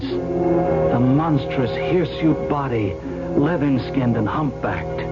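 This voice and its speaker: A nervy young heir telling his own story, voiced by a man, wry and quick to joke at himself. His voice is mid-pitched and plain. It is heard as muffled old radio with music underneath. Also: natural voice. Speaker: narrator and lead in radio drama. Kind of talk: 1970s radio drama narration.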